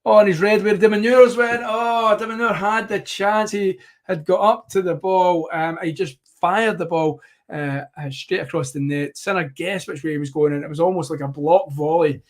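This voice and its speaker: A man hosting a live tennis watchalong, commentating play by play in a rambling, self-correcting way, slightly hoarse. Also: high voice, steady tone, moderate pace.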